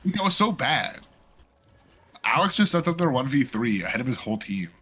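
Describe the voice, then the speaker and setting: Husky-voiced, excitable esports caster guy. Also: medium pitch, normal speed. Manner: joking, excitable, laughs often. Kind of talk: live game commentary.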